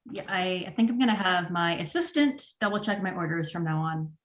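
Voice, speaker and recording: A woman's voice: fairly low pitch, expressive, moderate pace, a little hiss or hum, natural voice. A woman playing a disorganized, exasperated female store manager in a comedy table read. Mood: resigned, exasperated. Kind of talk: virtual table read of a sitcom script.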